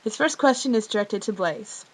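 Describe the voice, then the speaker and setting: A woman's voice: medium pitch, lively intonation, moderate pace, low-quality recording. A woman hosting a casual scripted question show, reading out fan questions.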